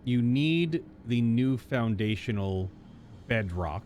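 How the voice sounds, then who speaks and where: A man's voice: mid-range voice, animated, darkish tone. A male game streamer gives casual reaction commentary in a mid-range voice. He takes his time, stretching and stressing words as he thinks aloud. There is faint noise behind him. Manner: drawn-out, emphatic, thinking aloud.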